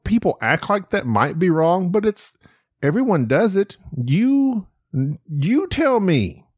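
A man's voice: medium pitch, no room sound, studio quality. A middle-aged man hosting a solo podcast, talking with a nasal voice in a rambling, self-mocking, chatty way. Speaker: podcast host. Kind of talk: solo stream-of-consciousness podcast.

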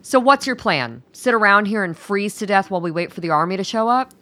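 Sharp, impatient stranded woman. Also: mid-range voice, lively intonation, brisk. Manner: sharp, challenging. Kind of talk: radio drama acting.

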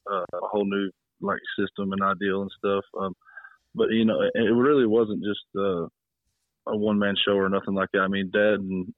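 A man of thirty, chatting modestly and politely as a coach interviewed over the phone. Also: lowish voice. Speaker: high school football coach, interview guest. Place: on a phone call. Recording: muffled, roomy phone line.

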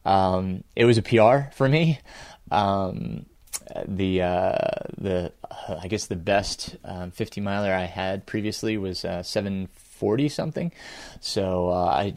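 A middle-aged man with a fairly low voice talks through a personal audio journal. He speaks in a halting, reflective way, pausing often to find his words, and the sound is close and dry. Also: dry recording. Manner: halting, hesitant, with frequent filled pauses and small chuckles. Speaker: podcast host and amateur ultrarunner.

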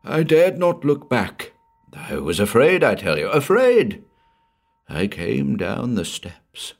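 A man voicing a big, strong man over sixty, hoarse and shaken with terror. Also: mid-range voice, huge pitch swings, slow, voice pushed up, full character voice. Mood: frantic. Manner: frantic, pleading.

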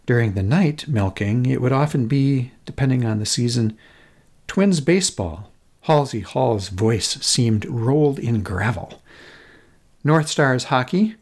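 A middle-aged American man narrates a scripted personal essay. His voice sits at a medium pitch. He tells it in a measured, literary way, with deliberate phrasing. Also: darkish tone. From Minnesota, United States. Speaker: podcast host.

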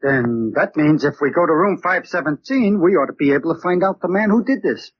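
An actor playing a mild, cautious, questioning sidekick in a 1940s radio drama. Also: male voice, fairly high pitch, expressive, brisk, natural voice. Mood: anxious. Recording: dull, noisy 1940s radio recording.

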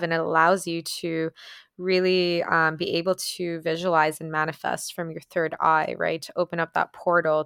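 A woman's voice: fairly low pitch, a bit bright, smooth, no echo, studio quality. A woman from Ukraine who grew up speaking Ukrainian and Russian, telling a personal story in a casual, reflective and earnest monologue. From Ukraine, later moved to Canada. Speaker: vlogger sharing personal experiences.

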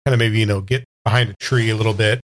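A man's voice: lowish voice, steady tone, rapid-fire. A man playing a tabletop game, casually improvising a description of what the character does.

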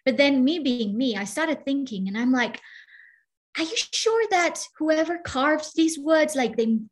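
Higher-pitched woman from Burma telling her story. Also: animated, measured pace. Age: 30s or older. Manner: conversational, quotes imagined dialogue, says "like" often. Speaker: podcast guest, coach and entrepreneur. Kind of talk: casual personal storytelling in an interview.

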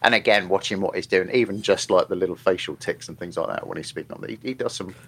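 A man's voice: deep voice, fast talker, a bit bright. A man talking casually as a guest on a podcast, rambling with many restarts and asides. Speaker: podcast guest, film journalist.

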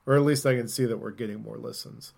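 A man's voice: mid-range voice, fairly even intonation, fast talker, creaky, a little mellow, husky. A man co-hosting a podcast, chatting casually about gaming news in an informal, rambling way. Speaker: podcast host.

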